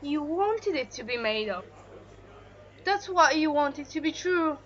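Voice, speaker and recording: A woman's voice: high voice, animated, measured pace, fairly bright tone, highs cut off. A young woman acting in an audio drama as an earnest woman who is firm in her convictions. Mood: argumentative. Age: young adult. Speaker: student actor.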